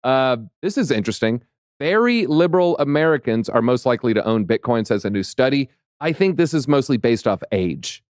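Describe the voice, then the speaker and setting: A slightly hoarse man hosts a loose crypto chat, rambling and joking between market numbers. His voice is mid-pitched, and the room sounds dry and close. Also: expressive, fairly quick, no room sound. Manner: playful, rambling, chatty. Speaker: host.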